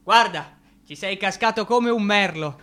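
High, bright-voiced anxious young clerk. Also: male voice, unhurried. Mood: feverish irritation. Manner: theatrical, agitated, pleading. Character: anxious, overwrought young male clerk, submissive and fragile. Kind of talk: Italian radio drama acting.

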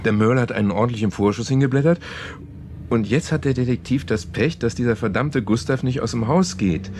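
An actor playing a man who earnestly and haltingly retells a story, in a naturalistic German radio play. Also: mid-range voice, a little mellow, slight background noise, close to an everyday voice. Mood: patient, hesitant. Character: man haltingly retelling a novel to a sceptical woman.

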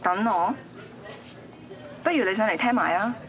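A woman's voice: medium pitch, animated, measured pace, natural voice. An actress playing a blunt, ambitious young student girlfriend in a radio drama, matter-of-fact and dismissive, with a Hong Kong Cantonese accent. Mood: cool, evasive. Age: young adult.

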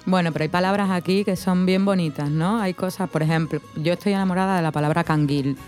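A woman in her thirties from Cádiz, with a mixed Andalusian Spanish accent, chatting and telling stories in a lively way. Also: lowish voice, fast, faint music behind. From Spain (Cádiz). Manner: chatty, animated storytelling with frequent "¿no?". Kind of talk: casual conversation.

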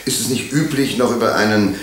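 An actor playing a courteous, methodical police inspector who questions suspects formally in a radio drama. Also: male voice, on the low side, slight background noise, lightly acted. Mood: probing. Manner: formal, probing, deliberate. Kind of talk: German radio drama acting. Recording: roomy, echoing radio drama studio.

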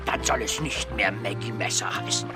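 A man's voice: fairly low pitch, normal speed, loud music behind the voice, close to an everyday voice. An actor playing a jolly, big-hearted pirate father with memory loss, in a theatrical children's radio play manner. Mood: jubilant. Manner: exclamatory, chuckling, theatrical. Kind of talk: German children's radio drama acting. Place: studio radio production.

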